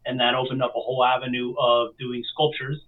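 A man from Miami talks as an interview guest, relating his story in a casual, chatty way. His voice is mid-pitched and comes through thin and phone-like, sitting some distance from the microphone. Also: little pitch movement, a little fast. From Miami, United States. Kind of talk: casual chat, telling own story.

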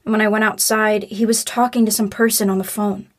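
A woman's voice: mid-range voice, level tone, brisk, natural voice. A woman acting a hurt, defiant young daughter in a naturalistic audio drama, guarded and curt in manner. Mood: confiding, troubled.

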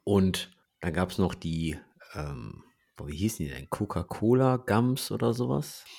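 A German man co-hosting a podcast, chatting casually and telling lively anecdotes. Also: low voice, a little slow, vocal fry. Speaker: podcast co-host.

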